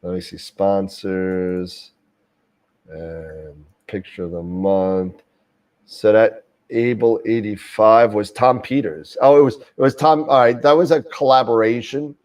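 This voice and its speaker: A man's voice: fairly low pitch, fairly even intonation, slow pace, a little mellow, recorded from a distance. A man hosting a live astronomy show, talking casually with many fillers and restarts while getting over a cold. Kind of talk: casual live-stream chat.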